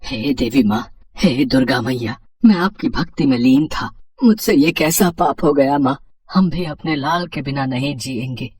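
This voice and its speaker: A man's voice: mid-range voice, expressive, measured pace, darkish tone, breathy edge, far from the mic. A man playing a humble, devout, emotional potter and father in a moral story, with a reverent, pleading delivery. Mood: anguished, pleading. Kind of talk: acted dialogue in a Hindi moral story.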